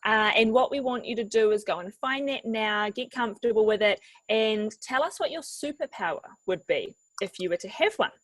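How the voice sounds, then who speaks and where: A woman's voice: medium pitch, no echo, low-quality recording. A woman hosting an online workshop, friendly and encouraging as she guides participants. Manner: friendly and encouraging, repeats "fantastic". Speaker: webinar host.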